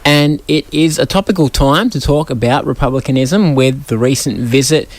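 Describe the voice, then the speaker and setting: Man, radio host, relaxed, halting, dry studio mic. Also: mid-range voice, no room sound. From Australia. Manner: relaxed, hesitant with fillers. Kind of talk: community radio interview hosting.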